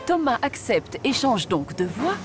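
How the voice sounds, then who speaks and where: A woman's voice: fairly high pitch, sing-song, a little slow, over music. A woman narrator reading a scripted story for a children's cartoon in a storytelling style.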